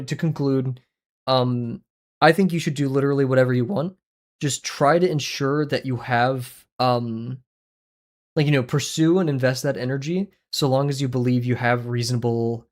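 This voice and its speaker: A young man streaming from a small home room as he draws, chatting in a rambling, casual way full of fillers and self-deprecating asides into a headset mic. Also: medium pitch. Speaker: art streamer. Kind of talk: casual livestream chat while drawing. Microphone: headset with boom mic.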